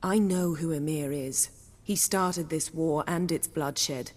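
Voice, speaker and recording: A poised fantasy game sorceress with a very low voice for a woman. She is articulate, self-assured and a little arch, heard in a long hall reverb. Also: slow pace. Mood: grave. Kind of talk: dramatic video game voice acting.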